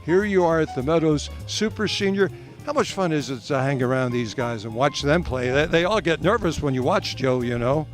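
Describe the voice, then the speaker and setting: Upbeat high-voiced man interviewing courtside. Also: expressive, normal speed, soft background music. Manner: upbeat, chatty questions. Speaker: tennis show host. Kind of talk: on-location interviewer. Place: outdoor tennis event.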